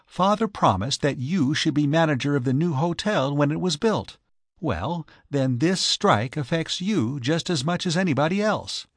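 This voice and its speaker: A man's voice: mid-range voice, very animated, moderate pace, hardly any pauses, no room sound, clean studio sound. A man voicing an eager, urgent young married woman who coaxes earnestly in an audiobook. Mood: inspired, eager. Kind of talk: audiobook character voice.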